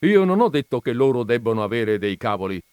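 A man voicing a cranky, stubborn gentleman who argues loudly and loses his patience easily. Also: medium pitch, sing-song, fairly quick, clean tone, no room sound, close to an everyday voice. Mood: exasperated. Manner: loud, indignant, argumentative.

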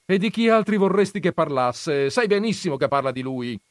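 Male reader as sharp-tongued elderly grandmother, raised scolding voice, dry read. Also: fairly high pitch, expressive, brisk, no room sound, voice pushed up, natural voice.

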